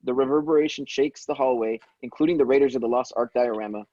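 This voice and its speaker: A man's voice: medium pitch, fast, mellow, dry recording. A man reading stage directions as narrator in an amateur table read, stumbling now and then and correcting himself. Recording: dull, dry lo-fi microphone.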